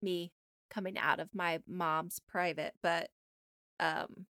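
A woman's voice: fairly low pitch, fairly even intonation, slow, bright, forceful tone, no echo, studio quality. An American woman co-hosting a podcast in casual, conversational chat. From United States. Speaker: podcast co-host.

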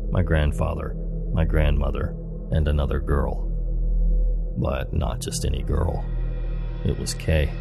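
A man narrating scary stories in a measured, low-key scripted delivery. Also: creaky. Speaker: horror story narrator.

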